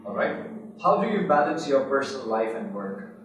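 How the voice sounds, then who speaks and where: Male interviewer, mid pitch, brief plain questions, distant and very roomy sound. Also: very animated, moderate pace, a little hiss or hum. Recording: very echoey, far from mic.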